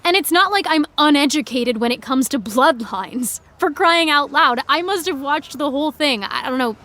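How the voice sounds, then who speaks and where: A woman playing a frustrated, opinionated high school senior fangirl in a naturalistic audio drama, earnest and articulate. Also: lively intonation, normal speed, bright, forceful tone. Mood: hesitant, defensive. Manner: earnest, articulate, indignant teen speech.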